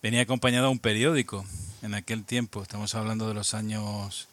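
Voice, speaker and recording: A man in his sixties from Albacete with a Spain Spanish accent, a guest looking back on his past, speaking haltingly with frequent restarts. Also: on the low side, flat delivery, a bit bright. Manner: halting, many restarts and repeated words, reflective. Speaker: guest, amateur radio operator. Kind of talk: casual interview, reminiscing.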